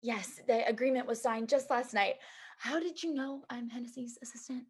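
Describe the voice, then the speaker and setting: A woman's voice: on the high side, steady tone, moderate pace, breathy edge. A woman playing a sardonic, polished executive assistant with a cutting, teasing manner. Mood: surprised.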